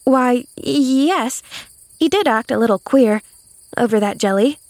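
A cheerful young girl from a storybook, acted by a woman reader. Her voice is high and earnest, with guileless, loyal chatter. The recording is close and dry. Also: animated, moderate pace, no room sound. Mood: eager, thoughtful. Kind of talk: audiobook character voice.

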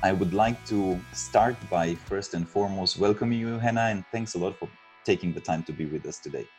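Low-voiced man hosting an interview. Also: a little fast, a little mellow, faint music behind. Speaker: interview host. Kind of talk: formal interview with prepared introduction.

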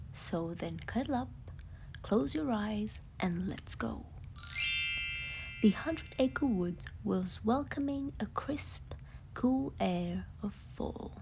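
A woman telling a bedtime story in a hushed, soothing whisper. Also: medium pitch, a little hiss or hum.

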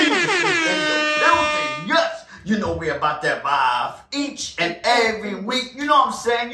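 A man co-hosting a casual podcast, upbeat and slangy, quick to laugh. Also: high voice, sharp tone. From United States. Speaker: podcast co-host. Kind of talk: casual chat. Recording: roomy, distant mic, faint music.

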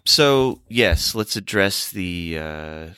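A man hosting a podcast in casual, rambling talk, halting and pausing as he goes, close on the microphone. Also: low voice, expressive, bright, forceful tone, slight background noise. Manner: halting, hesitant delivery with long pauses. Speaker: podcast host. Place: remote recording over a video call. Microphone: microphone held close to the mouth.

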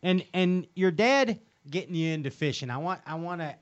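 Male podcast host, eager and stammering, slightly high voice, muffled lo-fi sound. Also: normal speed. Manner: excitable, stammering repeats. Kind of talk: casual podcast interview.

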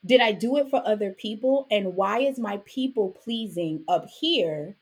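Woman, from Jamaica, husky, animated storyteller, podcast guest, mid-pitched. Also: measured pace. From Jamaica, raised in Brooklyn. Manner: animated storytelling with frequent "right?" asides. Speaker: podcast guest, author and business owner. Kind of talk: casual interview conversation.

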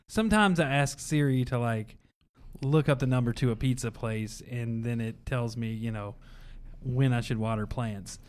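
A man hosting a casual panel discussion, chatty and self-deprecating. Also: mid-range voice. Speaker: host.

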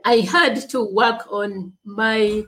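A woman from Uganda, answering interview questions in slow, halting speech with long pauses. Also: mid-range voice, steady tone, fairly bright tone. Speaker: guest, leadership coach and speaker.

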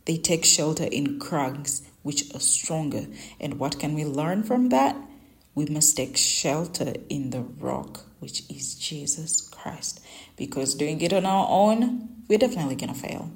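A woman's voice: low voice, lively intonation, measured pace, darkish tone. A young woman whose first language is Luganda, hosting a devotional podcast and sharing earnest, conversational reflections on scripture and her own life. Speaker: Bible devotional podcast host. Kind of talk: personal Bible reflection and teaching.

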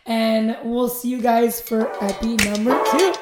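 Raspy twenties woman, frank podcast co-host, slightly high voice, echoey room. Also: fairly even intonation, over loud music. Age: 20s. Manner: frank, talks things through, quick to laugh. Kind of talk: casual chat.

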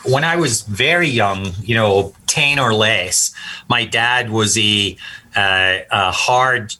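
A man in his fifties from Scotland with a blended Scottish-American accent, answering interview questions reflectively with frequent pauses and restarts. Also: on the low side, pushed and bright, rough recording. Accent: mixed Scottish and American. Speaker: golf course architect guest.

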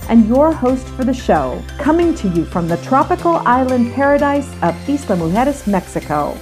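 An upbeat, enthusiastic woman hosting a podcast, opening with a scripted intro and moving into relaxed interview chat. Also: fairly high pitch. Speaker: podcast host. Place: island home in Mexico.

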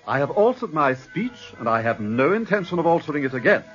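Upper-class English defence barrister, highish voice, grand rhetorical radio-drama oratory, muffled distant recording. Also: male voice, sing-song, a little fast, fairly bright tone, soft background music. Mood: brisk, firm. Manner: pompous, rhetorical, precise. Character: suave, theatrical English defence barrister, self-assured and eloquent. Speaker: actor playing a defence barrister.

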